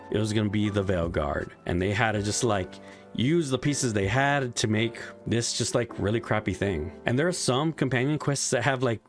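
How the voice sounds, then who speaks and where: A man giving a frustrated, casual spoken game review, swearing often as he rants. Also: on the low side. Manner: frustrated ranting, frequent swearing.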